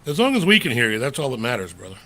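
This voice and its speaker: A man's voice: medium pitch, huge pitch swings, very fast, bright, forceful tone, low-quality recording. A man co-hosting a podcast, telling a rambling anecdote in a loose, slangy way. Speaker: podcast co-host. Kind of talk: casual storytelling chat.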